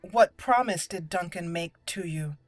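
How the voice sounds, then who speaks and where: A woman voicing a haughty, formal female elf mage in a role-played fantasy video game, with measured speech. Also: on the low side, dry recording, natural voice. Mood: curious, formal. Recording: game music clearly behind the voice.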